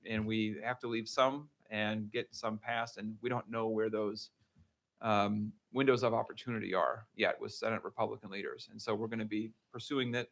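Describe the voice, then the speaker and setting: A man, a legislator answering constituents at a town hall in a measured, hedging way. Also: fairly low pitch, vocal fry, fairly bright tone. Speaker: state legislator. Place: public meeting room.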